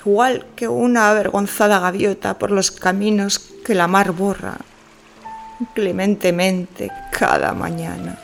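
A woman playing an obsessive, grieving middle-aged mother in an audio fiction monologue, speaking to her son in a hushed, intimate, theatrical manner. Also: medium pitch, lively intonation, measured pace, creaky, soft background music. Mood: possessive, anguished.

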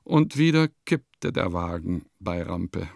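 An actor playing a vain, eccentric composer who muses theatrically and pompously in a radio play monologue. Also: male voice, low voice, big pitch swings, slow pace, gravelly, darkish tone, no echo, studio quality, faint music behind, full character voice. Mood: grandiose, self-satisfied. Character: vain, eccentric male composer musing in his diary.

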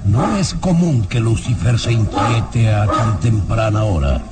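An animated, suspicious, commanding baron. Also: male voice, lowish voice, heavy background noise. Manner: deliberate, theatrical, commanding. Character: suspicious, commanding male baron, wary villain. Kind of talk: old radio serial acting. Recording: vintage radio drama, loud music behind.

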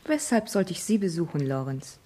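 An actress in a German radio play, playing a weary, self-possessed vicar's wife with a guarded, dry manner. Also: female voice, on the low side, big pitch swings, a little fast, warm tone, husky. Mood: guarded, cool. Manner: guarded, drily rebuffing.

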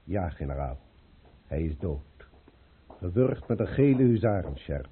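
A man's voice: deep voice, sing-song, measured pace, exaggerated voice. An actor playing a stern, determined nobleman making an arrest in a radio play, formal and insistent in his delivery. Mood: hushed, grave. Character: stern, determined male count leading an arrest party. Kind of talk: Dutch radio play acting. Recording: thin, phone-like old radio recording.

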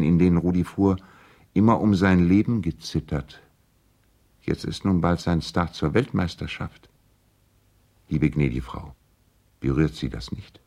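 A gentlemanly lawyer and concerned old friend in a vintage radio play. He has a low, deep voice and delivers his letters in an earnest, personal, pleading way. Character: courteous, worried male lawyer and loyal family friend. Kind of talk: 1970s German radio play, letters read aloud.

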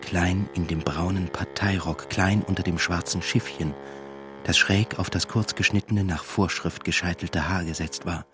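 A man's voice: low-pitched, fairly even intonation, normal speed, music in the background, close to an everyday voice. An actor playing a brooding, disillusioned younger man, wary and reflective, in radio drama monologue and dialogue. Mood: brooding, bitter.

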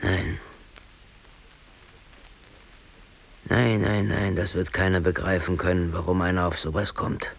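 A man's voice: low-pitched, little pitch movement, normal speed, creaky, husky, exaggerated voice. An actor playing a brooding, aggrieved bakery worker in his thirties, telling his story colloquially and intensely in a radio drama. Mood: resigned, subdued. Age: 30s. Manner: colloquial, emotionally intense, self-justifying. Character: brooding, aggrieved East German bakery worker under arrest. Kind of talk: radio drama monologue, confessional narration.

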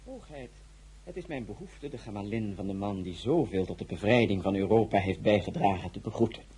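Very husky, stately Tsar. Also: male voice, fairly low pitch, animated, dark tone. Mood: courtly. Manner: formal, measured, stately. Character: suave, imperious Tsar of Russia, courtly and probing. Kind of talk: radio drama acting.

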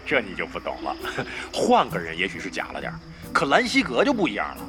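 Sly, smug veteran gamer, very animated. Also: male voice, medium pitch, moderate pace, loud music behind the voice. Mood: smug, gleeful. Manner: boastful, sly. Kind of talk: Chinese audio drama acting.

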